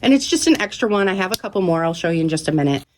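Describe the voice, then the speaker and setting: A woman's voice: fairly low pitch, animated, racing, darkish tone. Stuffy-voiced woman chatting to her viewers. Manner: chatty, upbeat, addresses viewers directly. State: stuffy and slightly out of breath from a cold. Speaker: vlogger. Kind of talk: casual show-and-tell vlog.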